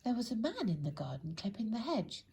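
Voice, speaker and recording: A woman reads a children's bedtime story expressively and deliberately, speaking directly to young listeners with a breathy, husky voice. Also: fairly high pitch, sing-song, mellow, slight background noise.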